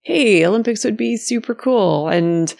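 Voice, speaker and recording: A woman's voice: medium pitch, lively intonation, unhurried, vocal fry, a little mellow. A woman hosting a casual interview, asking long, meandering questions and laughing along with her guest. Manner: friendly, laughing, asks long rambling questions. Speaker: interviewer, podcast host.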